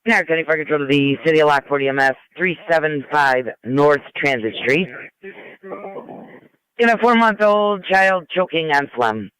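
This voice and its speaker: A man's voice: on the high side, expressive, moderate pace, a bit bright, smooth, no room sound, low-quality recording. An American man working as a fire control dispatcher, making formal, procedural announcements over a two-way radio. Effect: two-way radio transmission.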